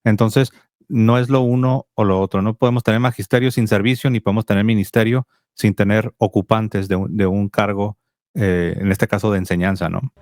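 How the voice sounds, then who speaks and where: Low-voiced Mexican man explaining. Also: normal speed, no echo, studio quality. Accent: Mexican Spanish. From Tijuana, Mexico. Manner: explanatory, steady teaching tone. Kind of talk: apologetics call-in talk.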